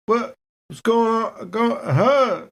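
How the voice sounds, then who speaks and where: A man role-playing haltingly as a player in a tabletop game. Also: extremely high pitch, vocal fry, a bit bright.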